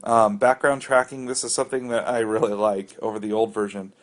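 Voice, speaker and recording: A man's voice: on the low side, flat delivery, a little fast. An American man narrating a casual, unscripted app walkthrough in a conversational, explanatory and somewhat hesitant way. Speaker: app reviewer giving a walkthrough.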